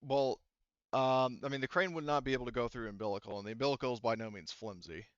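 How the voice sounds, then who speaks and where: Smooth-voiced man running a tabletop game. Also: mid-range voice, quick pace, no room sound, muffled recording. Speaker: game master. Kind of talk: improvised tabletop game narration and rulings.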